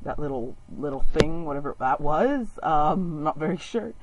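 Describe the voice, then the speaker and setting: A woman's voice: deep voice, lively intonation, moderate pace, background noise. A woman playfully hosting a pretend call-in radio show, dramatic and exclaiming.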